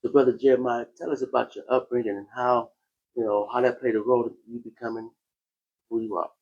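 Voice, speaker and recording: A man hosting a podcast in a casual conversational style, animated, often repeating phrases and breaking easily into laughter. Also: medium pitch, quick pace, creaky, muffled recording. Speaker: podcast host.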